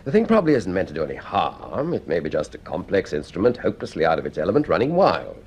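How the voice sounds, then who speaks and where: An actor playing an urbane, authoritative senior scientist in a radio drama, with a deliberate, reassuring way of talking. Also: male voice, low-pitched, wildly swooping pitch, a little mellow, slight background noise, full character voice. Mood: speculative.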